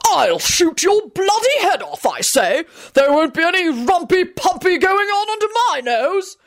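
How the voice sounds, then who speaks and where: A woman voicing a blustering, jealous upper-class British husband in an audiobook, shouting and pompous. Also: very high voice, big pitch swings, measured pace, no echo, studio quality, voice pushed up, full character voice. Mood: enraged bluster.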